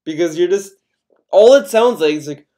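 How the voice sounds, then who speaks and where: A man's voice: high-pitched, lively intonation, normal speed, warm tone. A man with an American accent from Connecticut, ranting casually as a YouTuber in a profane, rambling, defensive way. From Connecticut, USA. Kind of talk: casual ranting vlog commentary.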